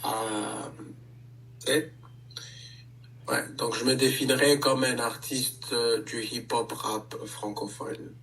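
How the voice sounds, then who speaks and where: Un homme, artiste de hip-hop, qui répond calmement à une interview, avec beaucoup d'hésitations. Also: male voice, mid-range voice, gravelly, distant mic, low-quality recording. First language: French. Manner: measured, many hesitations. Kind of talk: casual interview answers.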